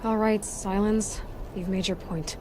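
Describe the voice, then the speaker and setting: An actress playing an earnest young female seeker in a video game, pensive and measured, frustrated yet defiant. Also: medium pitch, fairly even intonation, background noise, lightly acted. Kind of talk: video game cutscene acting.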